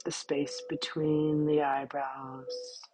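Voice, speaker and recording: A woman guiding a yoga nidra relaxation in a calm, soothing manner, with short, spaced phrases. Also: low voice, slow pace, a little hiss or hum, low-quality recording. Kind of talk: guided relaxation meditation.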